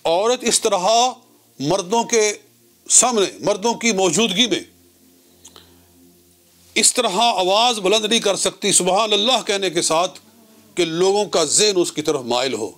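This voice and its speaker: A man giving a religious lecture as an Islamic cleric, citing scripture in short, measured, didactic phrases. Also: high-pitched, a bit bright.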